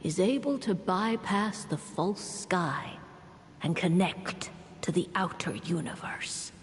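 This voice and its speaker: A woman playing a stately old sage in a fantasy game, giving cryptic instruction in a measured, formal manner. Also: lowish voice, lively intonation. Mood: solemn. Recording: long reverb, some background noise.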